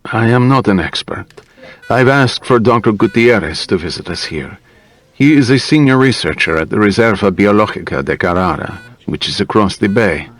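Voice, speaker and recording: A man voicing a courteous, careful hospital doctor in an audiobook, with measured, formal phrasing. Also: lowish voice, close to an everyday voice.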